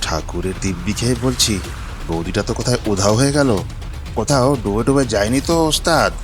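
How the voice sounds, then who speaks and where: A man playing a fawning, excitable henchman of a village bully in a cartoon. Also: on the low side, fairly quick, close to an everyday voice. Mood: puzzled. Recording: music playing behind the voice.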